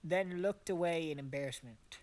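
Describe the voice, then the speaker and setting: A woman's voice: fairly low pitch, normal speed, darkish tone. A woman narrating a story informally for friends, as an amateur reader with exasperated asides. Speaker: narrator of a fan fiction reading.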